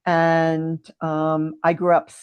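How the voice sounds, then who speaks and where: A middle-aged woman whose first language is French, answering interview questions in a thoughtful, hesitant way with frequent pauses. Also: low-pitched, completely flat delivery, clear, resonant tone, dry recording, dull audio. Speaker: guest. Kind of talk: casual podcast interview.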